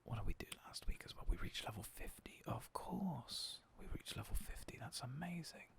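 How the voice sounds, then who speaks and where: A man with a hoarse, coarse voice, talking softly and half-whispering as he plays a game in a relaxed, rambling way. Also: mid-range voice, normal speed. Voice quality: coarse, gravelly voice. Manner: soft, half-whispered, rambling and self-deprecating. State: hoarse after a long work shift. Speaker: gaming ASMR host. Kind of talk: soft-spoken ASMR gameplay commentary.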